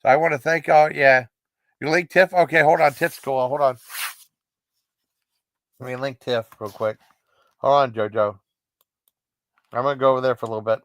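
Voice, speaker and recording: A man's voice: mid-range voice, fairly even intonation, moderate pace, pauses often, a bit bright, no echo, studio quality. A man hosting a casual livestream, talking in a rambling, informal way with short repeated acknowledgments. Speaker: livestream host. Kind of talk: casual livestream chat and sign-off.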